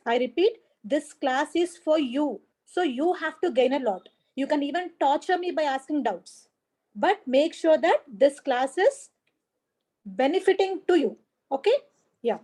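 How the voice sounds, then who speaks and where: A woman's voice: high-pitched, lively intonation, measured pace, warm tone. A woman with an Indian English accent teaching a live online class on a video call, encouraging and repeating key points while greeting students by name. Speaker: online exam coaching teacher. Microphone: laptop or headset mic on a video call.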